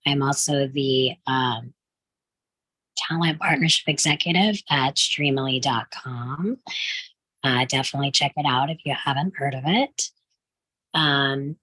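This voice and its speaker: A woman's voice: sits low, moderate pace, a bit bright, rough recording. A woman casually introducing herself as an actor on a video call.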